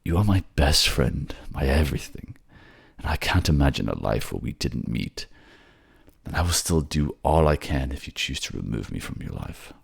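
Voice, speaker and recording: A tender, wounded king played by a man from an intimate fantasy audio drama. His voice is extremely deep with a heavy gravelly fry. He speaks softly and haltingly, as a devoted, remorseful lover. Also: big pitch swings, dry recording, studio quality, exaggerated voice. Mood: devoted, earnest.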